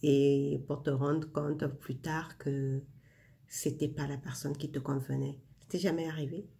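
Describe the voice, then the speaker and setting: A woman's voice: low-pitched, flat delivery, unhurried, gravelly, dark tone. A woman in her forties talking casually to her viewers in a spiritual vlog, speaking intimately to the listener with frequent fillers. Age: 40s. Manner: intimate, addresses the listener directly, many fillers. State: winding down before bed. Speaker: vlogger sharing inspiration.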